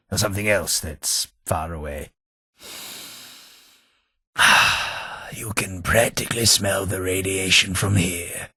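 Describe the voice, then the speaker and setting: A man's voice: fairly low pitch, fairly bright tone, husky, no room sound, full character voice. An actor playing a prim, snobbish male companion in a video game, with a dry, sardonic delivery.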